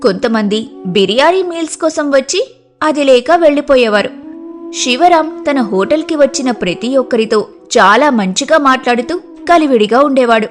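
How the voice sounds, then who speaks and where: A woman's voice: high voice, expressive, normal speed, soft background music. A woman narrating a scripted moral story as a storyteller.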